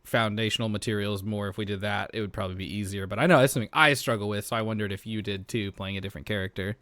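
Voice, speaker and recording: A man's voice: fairly low pitch, fairly even intonation, very fast, fairly bright tone, no echo. Informal man with a close, dry sound. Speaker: podcast cast member and player. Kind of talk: casual chat.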